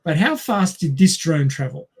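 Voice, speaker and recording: A man's voice: a little high, lively intonation, moderate pace, breathy edge. A man with an Australian accent hosting a casual quiz among friends, reading out questions and chatting. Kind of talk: casual group quiz chat.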